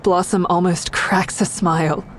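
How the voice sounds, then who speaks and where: Actress as a low-voiced, defiant young woman. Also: steady tone, a little slow, slight background noise, close to an everyday voice. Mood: tense narration. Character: defiant, distraught young woman narrating her own story. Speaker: narrator and lead character. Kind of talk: audio drama narration and dialogue.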